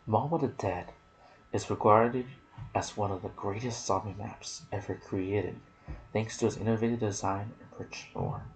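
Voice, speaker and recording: A man giving hushed ASMR gaming commentary, musing softly and often dropping toward a whisper. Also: fairly low pitch, normal speed, low-quality recording. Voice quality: soft, breathy, partly whispered. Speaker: gaming video creator and host. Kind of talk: ASMR gaming commentary mixed with casual chat.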